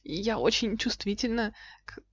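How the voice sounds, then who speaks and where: Young woman, podcast co-host, mid-pitched voice, casual reflective talk, dull lo-fi sound. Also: a little slow, breathy edge, no room sound. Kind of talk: casual chat.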